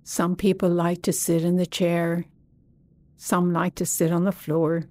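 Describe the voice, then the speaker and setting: Woman, guided meditation, soft dark tone, long unhurried pauses, close dry recording. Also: on the low side, little pitch movement, dry recording. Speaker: meditation teacher. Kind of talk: guided body scan meditation.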